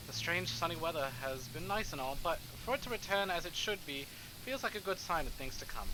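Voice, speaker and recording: A man's voice: a little high, noisy background, lightly acted. A man voicing a fretful, fussy young British man narrating a visual novel, with a put-on British accent. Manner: whiny, fussy.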